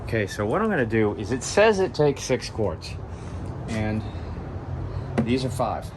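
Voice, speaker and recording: A man's voice: on the low side, very animated, measured pace. A man with a stuffy, sniffly voice from a cold, casually talking through a hands-on car repair tutorial in plain words, step by step. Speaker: DIY car repair host. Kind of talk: hands-on tutorial, casual talk while working. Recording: clear background noise, vehicle work ambience.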